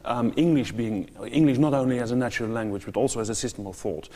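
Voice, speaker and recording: Mellow-voiced male interviewer, reverberant room. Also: mid-range voice, fast. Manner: prompts and short interjections, some hesitation. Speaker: interviewer, TV host. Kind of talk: probing interview questions.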